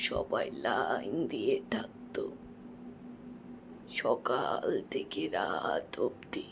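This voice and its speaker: A woman voicing a doting old village grandmother in a cartoon, with a low creaky voice and slow, drawn-out storytelling. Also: a little hiss or hum, exaggerated voice. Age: older. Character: slow, doting old granny who makes magical sweets.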